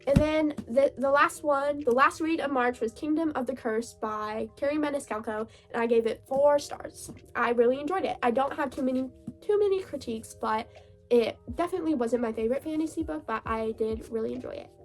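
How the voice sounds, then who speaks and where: A young woman chatting casually about books, her voice hoarse, gravelly and stuffed up from a cold, gushing and laughing often. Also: high-pitched, a little fast, faint music behind. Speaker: book vlogger. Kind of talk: casual reading wrap-up chat.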